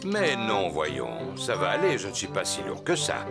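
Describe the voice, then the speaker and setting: A man's voice: deep voice, wildly swooping pitch, measured pace, heavily acted. A man voicing a big, jovial, overconfident daddy pig in a children's cartoon. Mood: breezy, confident. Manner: jovial, self-assured. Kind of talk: children's cartoon acting in French dub. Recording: music under the voice.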